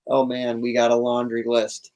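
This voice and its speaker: A man with noticeable vocal fry and a mid-range voice talks as a guest in a casual interview. His delivery is laid-back and slangy, with clear enthusiasm for his subject. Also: mid-range voice, completely flat delivery, normal speed, darkish tone. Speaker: interview guest, cannabis grower.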